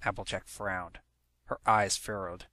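A man's voice: low voice, level tone, a little slow, sharp tone, no echo. A man reading a story aloud as a dramatic scripted narration. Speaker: fan fiction narrator.

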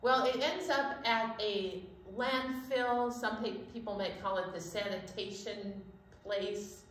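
A woman's voice: medium pitch, steady tone, takes it slowly, a bit bright, slight background noise. A middle-aged woman hosting a children's storytime, talking straight to young viewers with emphasis. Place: indoor library storytime set, seated. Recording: echoey room, far from the mic.

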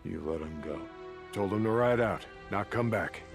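An actor playing a grim, world-weary, resolute American camp leader in a video game, with music behind him. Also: male voice, lowish voice, moderate pace, close to an everyday voice. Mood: resigned, firm. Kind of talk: naturalistic video game acting. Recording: clear music and rain behind.

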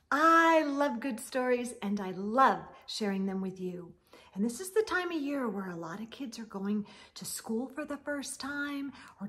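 A middle-aged woman hosting a children's storytime, reading aloud expressively with drawn-out words and gentle asides. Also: sits high. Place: indoor room lined with bookshelves.

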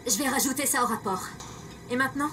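An actress playing an inquisitive military officer in a video game. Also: female voice, high-pitched, fast talker, bright, forceful tone. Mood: inquiring. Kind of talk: video game character acting. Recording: distant, very noisy.

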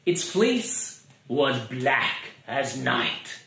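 A man's voice: medium pitch, big pitch swings, drawn-out words, vocal fry, sharp tone. A man in his thirties giving a dramatic, mock-solemn comic poetry reading. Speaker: poetry reader. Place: living room by a fireplace. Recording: roomy camera sound.

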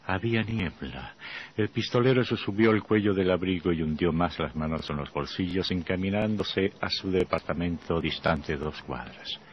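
A man's voice: low voice, a little hiss or hum. A man narrating a horror radio play in a theatrical, ominous, suspenseful manner. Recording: dull lo-fi old radio recording. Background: dramatic music.

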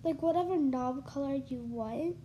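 A woman's voice: high-pitched, moderate pace, muffled recording, background noise. A young woman with a congested, stuffy voice, chatting casually through a tour of a house she built in a game, full of ums and likes. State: congested from allergies. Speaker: gaming YouTuber.

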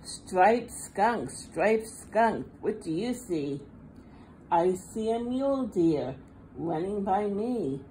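Slow, sing-song woman reading a picture book. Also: mid-range voice, expressive, dark tone, low-quality recording. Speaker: children's storybook reader. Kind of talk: read-aloud picture book for young children. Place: indoors at a table. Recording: faint background room noise.

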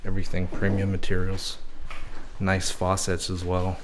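A man's voice: low voice, monotone, moderate pace, slight background noise. A man casually narrating a walkthrough of an abandoned house, recorded on a handheld camera mic indoors. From Texas. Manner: laid-back, remarks on what he sees. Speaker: urban explorer host.

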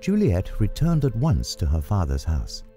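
Deep, bright-voiced male story narrator. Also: huge pitch swings, moderate pace. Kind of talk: scripted audiobook story narration. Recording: music under the voice. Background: background music.

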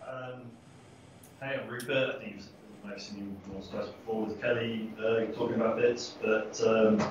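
A man in his thirties sounds soft and easygoing, with a low, flat voice. He sits far from the mic in a very echoey room, with clear background noise and dull sound. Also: normal speed. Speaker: agency owner panelist.

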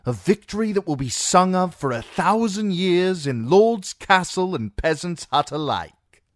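A man's voice: sits high, lively intonation, a little slow, dry recording, clean studio sound, pitched above the performer's own voice. A man voicing a zealous soldier who speaks in a fervent, grand tone. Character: zealous boastful male soldier.

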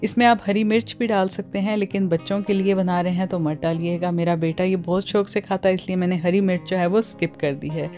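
A woman's voice: lowish voice, steady tone, fairly quick, few pauses, darkish tone, faint music behind. A woman with a Pakistani accent hosts a cooking tutorial in voice-over, mixing English words and phrases into her talk. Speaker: cooking channel host.

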